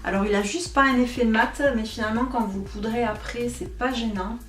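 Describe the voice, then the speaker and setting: A woman's voice: fairly high pitch, steady tone, fairly quick, fairly bright tone. A young woman giving a casual makeup tutorial, chatty and self-mocking, with frequent fillers. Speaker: beauty vlogger. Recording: echoey room, voice a bit far from mic, faint music.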